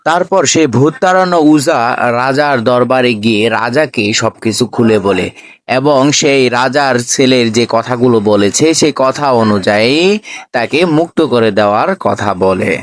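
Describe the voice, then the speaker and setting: A man's voice: mid-range voice, a little slow, no echo. A man narrating a scripted cartoon story as the storyteller.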